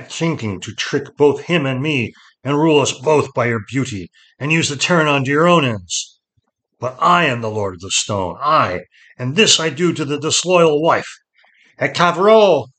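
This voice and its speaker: A man's voice: mid-range voice, pushed and bright, voice pushed up, rough recording. A man voicing a cruel, proud lord sorcerer in an audiobook fantasy tale, with a commanding, declaiming manner. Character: proud, jealous, dry-voiced old lord sorcerer, cruel.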